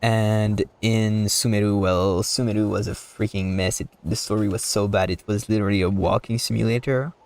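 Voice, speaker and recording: A man giving casual live commentary as a game streamer, rambling and halting as he repeats words. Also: lowish voice, steady tone, vocal fry, darkish tone.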